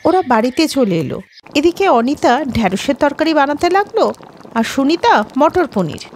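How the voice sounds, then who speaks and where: Female narrator for children's tales, high-pitched, with expressive, swooping intonation. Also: moderate pace, slight background noise. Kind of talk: scripted children's story narration.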